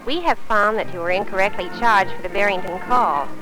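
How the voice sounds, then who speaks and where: An actress playing a polite, formal American telephone company service representative in a 1950s training film. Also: female voice, mid-range voice, a little fast, lightly acted, low-quality recording. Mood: polite, businesslike. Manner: courteous, formal, carefully phrased. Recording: 1950s film soundtrack, music behind.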